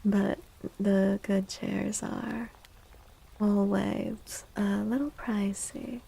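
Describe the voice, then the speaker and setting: A woman streamer speaking in a soft, breathy ASMR style, often near a whisper, chatting gently and casually close to the mic. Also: mid-range voice, level tone, drawn-out words, rough recording. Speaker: live streamer hosting chat. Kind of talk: soft-spoken ASMR, casual live chat.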